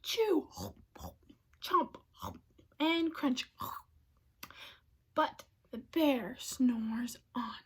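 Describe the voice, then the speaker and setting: Lady doing a kids read-aloud, high voice, really unhurried, expressive with hushed bits. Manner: expressive, often dropping to a breathy hush, asks listeners questions. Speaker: storybook reader. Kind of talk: children's read-aloud narration.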